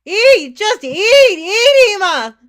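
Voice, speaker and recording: A woman's voice: very high-pitched, big pitch swings, takes it slowly, fairly bright tone, exaggerated voice. A woman playing an anxious, coaxing mother in a staged reading of a drama, fussing and placating. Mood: desperate. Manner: pleading, fussing.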